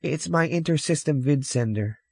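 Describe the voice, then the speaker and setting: A man voicing an upset young boy who is shrill, whiny and accusing in an audiobook story. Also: normal speed, vocal fry, darkish tone, breathy edge, dry recording. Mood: sullen, accusing.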